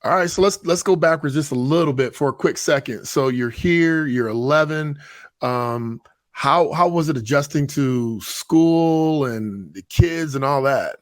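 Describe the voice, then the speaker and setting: A man's voice: on the high side, unhurried, no echo, clean studio sound. A man in his fifties hosting a podcast in an emphatic, colloquial way, repeating phrases for effect. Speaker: podcast host. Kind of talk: upbeat podcast intro and casual interview.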